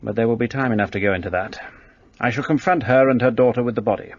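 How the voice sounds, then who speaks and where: An actor playing a brusque, arrogant police detective in a radio play, speaking in a curt, commanding way. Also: male voice, mid-range voice, quick pace, no echo, muffled recording, natural voice.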